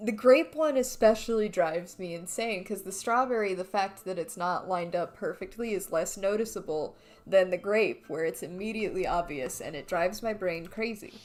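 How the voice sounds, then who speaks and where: A woman's voice: mid-range voice, normal speed, a little mellow. A woman giving casual commentary as she plays a video game. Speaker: let's play streamer.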